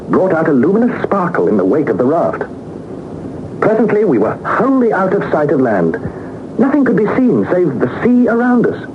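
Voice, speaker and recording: Earnest young explorer, narrating through phone-like static. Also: male voice, on the high side. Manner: measured, literary, dramatic storytelling. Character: earnest young nephew narrating his expedition log. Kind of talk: 1960s radio drama narration. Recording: noisy phone-like old 1960s radio recording.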